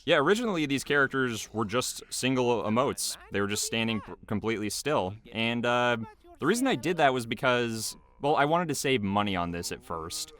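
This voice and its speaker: A man's voice: medium pitch, lively intonation, moderate pace, fairly bright tone. A man giving casual behind-the-scenes commentary as a video creator, rambling loosely in a small homemade booth.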